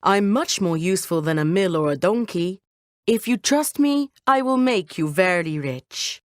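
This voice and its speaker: Lady doing a sly confident talking boy cat for a kids story, courtly and persuasive, really animated, dry sound. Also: fairly low pitch, unhurried, no echo.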